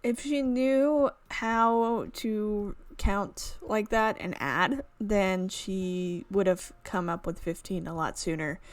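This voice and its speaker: A woman's voice: mid-range voice, takes it slowly, hardly any pauses, no room sound. Chatty young woman reading a visual novel. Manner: chatty, amused asides, laughs at the script. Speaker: visual novel let's player. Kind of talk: reading a game aloud with casual commentary.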